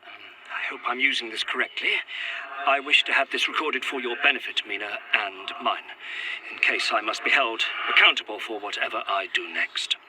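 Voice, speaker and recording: Actor as anguished Victorian gentleman, noisy recording. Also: medium pitch, normal speed, natural voice. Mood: grim, shaken. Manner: formal, old-fashioned diction, hesitant. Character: anguished, formal Victorian gentleman, fearful and resolute. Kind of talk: found-footage audio drama acting.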